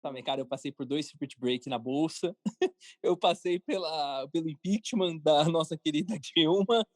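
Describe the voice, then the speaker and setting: A man's voice: on the high side, normal speed, no echo. Brazilian man, informal and emphatic, dry close sound. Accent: Brazilian Portuguese. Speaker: podcast guest, cartoon creator and entrepreneur. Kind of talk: casual interview talk.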